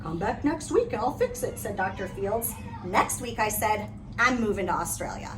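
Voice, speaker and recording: A woman reading a picture book aloud in an animated way, with dramatic emphasis on key phrases. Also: mid-range voice, normal speed. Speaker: read-aloud reader. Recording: noisy, distant microphone.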